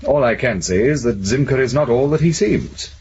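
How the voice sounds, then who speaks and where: An actor playing a guarded secret service official in a 1970s radio drama, measured, formal and quietly authoritative. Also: male voice, medium pitch, lively intonation, lightly acted. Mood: firm. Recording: dull, noisy old radio recording.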